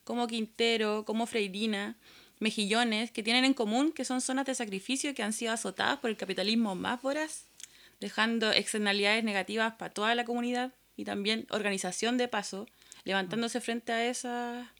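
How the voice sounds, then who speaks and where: A woman's voice: on the high side, fairly even intonation, fairly quick, fairly bright tone. A woman with a Chilean accent co-hosting a casual political discussion in an earnest, activist manner. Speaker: podcast co-host.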